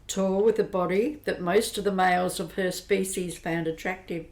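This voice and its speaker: A woman giving steady, descriptive narration for an amateur radio drama. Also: medium pitch. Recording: roomy echo.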